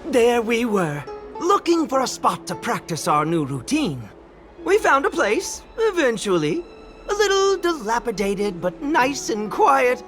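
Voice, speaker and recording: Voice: medium pitch, wildly swooping pitch, measured pace, fairly bright tone, faint music behind. An actor playing a wry, dramatic comic performer in a video game, with a dry storytelling delivery. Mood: rueful storytelling.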